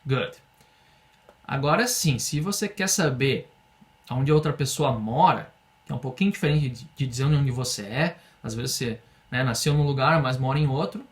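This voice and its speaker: A young man from Brazil teaching an English lesson in Portuguese, explaining patiently and having students repeat phrases slowly. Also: mid-range voice. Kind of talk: online language lesson.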